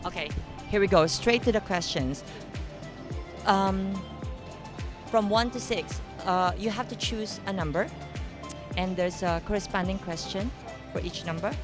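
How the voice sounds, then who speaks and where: A woman's voice: medium pitch, a little slow, long pauses. A woman interviewer asking light, teasing questions with a giggly manner. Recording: music playing behind.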